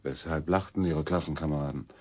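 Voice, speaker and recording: A man's voice: extremely low pitch, steady tone, fairly quick. An actor playing a newspaper reporter in a radio drama, dry and wry, politely but persistently pressing with his questions. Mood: sober, incredulous. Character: probing, dryly wry male newspaper reporter. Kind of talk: German radio drama acting.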